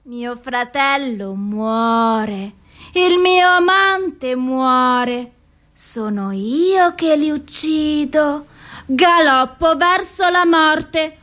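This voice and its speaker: An actress playing a despairing, suicidal and self-loathing woman in an anguished, deliberate theatrical radio monologue. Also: sits high, pushed and bright, heavily acted. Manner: slow, deliberate, anguished delivery.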